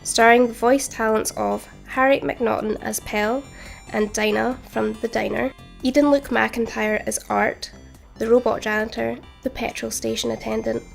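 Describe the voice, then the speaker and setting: Female credits announcer, slightly high, very gravelly creak, scripted read over music. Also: steady tone, normal speed. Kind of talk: scripted narration.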